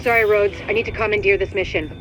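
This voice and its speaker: An actress playing a commanding mission coordinator in a video game, businesslike and urgent. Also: female voice, medium pitch, steady tone, quick pace, natural voice. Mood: urgent, firm. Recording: music behind the voice.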